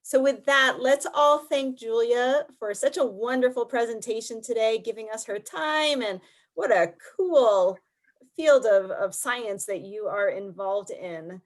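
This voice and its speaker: A woman's voice: fairly high pitch, measured pace. A woman hosting a science presentation, informally relaying audience questions and moderating. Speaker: host of an online science presentation series.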